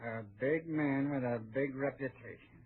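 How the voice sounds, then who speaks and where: A man's voice: mid-range voice, normal speed, husky. An actor playing a cocky, hot-headed gunman in an old-time radio western, goading and boastful. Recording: thin, phone-like old radio recording.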